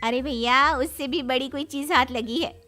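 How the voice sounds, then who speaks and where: High-pitched, persuasive young aunt, acted by a woman. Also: normal speed, bright, forceful tone, soft background music. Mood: gleeful. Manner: teasing, coaxing. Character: cheeky, persuasive young sister-in-law and aunt in family comedy.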